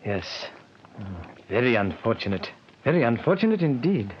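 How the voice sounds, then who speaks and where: A man's voice: mid-range voice, sing-song, moderate pace, close to an everyday voice. A man playing a courteous insurance manager. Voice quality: polite, hesitant. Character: courteous middle-aged male insurance manager. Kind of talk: 1950s radio drama acting. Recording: muffled vintage radio transcription with hiss.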